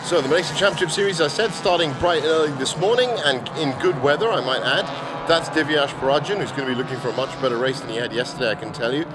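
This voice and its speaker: Hoarse male race commentator over loud track noise. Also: on the high side, fast talker. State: slightly hoarse from previous day's commentating. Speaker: motorsport commentator. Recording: loud engine and outdoor circuit noise. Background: cars and trackside ambience.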